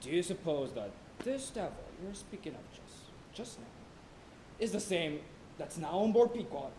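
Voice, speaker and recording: A man's voice: sits high, big pitch swings, moderate pace, dark tone. A man on stage playing a skeptical sailor who questions and needles his shipmate insistently. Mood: doubting. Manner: insistent, argumentative. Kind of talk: theatrical stage acting. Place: college theatre stage. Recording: roomy auditorium stage recording, some hiss.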